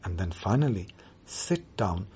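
Measured man, Urdu native speaker. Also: deep voice, very animated, darkish tone, breathy edge. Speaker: poet. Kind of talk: reflective talk on his writing.

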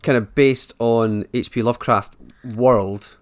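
Male interviewer, mid-pitched voice, meandering questions. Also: fairly even intonation, a little slow, clear, resonant tone. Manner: rambling, hesitant questions with fillers. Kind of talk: conversational interview.

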